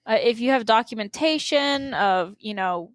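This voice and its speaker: A woman's voice: on the high side, lively intonation, moderate pace, no echo. A woman co-hosting a casual podcast, giving practical advice from teaching. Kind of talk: casual interview chat.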